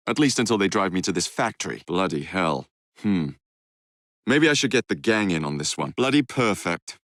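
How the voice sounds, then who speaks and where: A young English rogue from a Victorian action game, cocky and quick-witted. His delivery is flippant and theatrical, with animated rises and falls in pitch. The sound is dry and studio-clean, with no room around it. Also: no room sound. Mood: grumbling, scheming. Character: cocky, roguish young male Victorian gang leader, witty and brash. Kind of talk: video game character voice lines.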